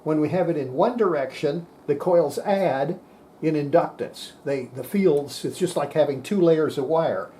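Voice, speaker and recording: A man's voice: on the high side, a little slow, warm tone, a little hiss or hum. A man giving an informal hands-on technical explanation, plain-spoken and folksy, thinking aloud as he demonstrates equipment. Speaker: presenter demonstrating radio equipment.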